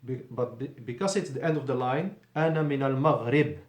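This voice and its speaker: A man's voice: medium pitch, fast, echoey room. A male Arabic teacher giving a patient classroom lesson in a mosque, explaining in English and repeating Arabic phrases.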